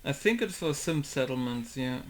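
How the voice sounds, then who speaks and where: A young man, a game modder, chatting casually while he plays, rambling and often trailing off quietly. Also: mid-range voice, expressive, normal speed. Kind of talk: casual commentary over gameplay.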